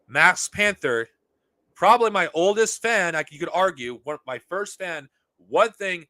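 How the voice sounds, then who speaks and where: A man's voice: fairly high pitch, sharp tone, no room sound. Heated, profane man, dry close sound. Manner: heated, profane, rambling with restarts. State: losing his voice. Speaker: podcast host. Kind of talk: unscripted monologue to viewers.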